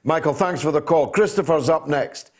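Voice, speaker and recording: Guy hosting call-in radio, emphatic and deliberate, kinda high voice for a man. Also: a little mellow. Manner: emphatic, measured, with deliberate pauses. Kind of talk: opinionated talk radio commentary.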